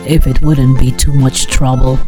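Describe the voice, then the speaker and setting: Woman putting on a low-pitched, courteous papa bear. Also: flat delivery, moderate pace, music in the background. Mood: polite request. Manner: courteous, calling out. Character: polite adult father bear from a storybook.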